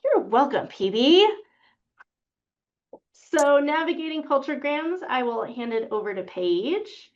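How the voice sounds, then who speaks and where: A woman with a fairly high voice co-hosts a casual library webinar. She chimes in with playful teasing and quick questions. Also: sing-song, highs cut off. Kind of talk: casual banter during a demo.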